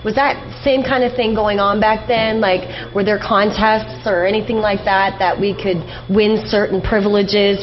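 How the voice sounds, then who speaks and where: A woman hosting a casual radio interview, speaking informally in wordy, loosely phrased questions and remarks. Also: medium pitch. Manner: wordy, informal questions padded with "like" and "you know". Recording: dull, lo-fi radio interview with noise.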